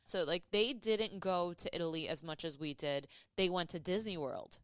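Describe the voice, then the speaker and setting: A woman guest on a podcast, telling lively childhood stories in casual conversation, with lots of "like" fillers. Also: on the low side, normal speed, clean tone, dry recording, studio quality. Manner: lively anecdotal storyteller, many "like" fillers.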